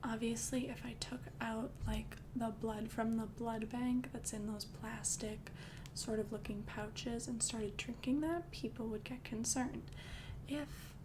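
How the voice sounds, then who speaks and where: A young woman voicing a calm female vampire girlfriend in an ASMR roleplay, talking in a soft, chatty, reassuring whisper. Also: on the high side, a little slow, background noise, close to an everyday voice. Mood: gentle whisper. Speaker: ASMR creator.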